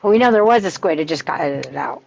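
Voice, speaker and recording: Female cast member, conversational, lowish pitch, muffled lo-fi recording. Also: lively intonation, fast, creaky, a little mellow. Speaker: table read cast member. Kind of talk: casual chat. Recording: dull, muffled lo-fi sound.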